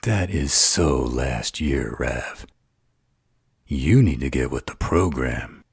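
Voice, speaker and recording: A man's voice: rumbling low, expressive, slow pace, gravelly, breathy edge, no room sound, studio quality, full character voice. A man playing a snarky, distrustful supernatural fighter in an audio roleplay, with a mocking drawl. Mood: teasing.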